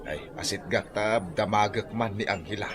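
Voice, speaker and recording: Man playing very deep-voiced older Ilocano driver. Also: normal speed, a crowd in the background, natural voice. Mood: reassuring. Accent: Filipino, Ilocano. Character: older male jeepney driver, teasing confidant of a lovesick friend. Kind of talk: Ilocano comedy radio drama acting.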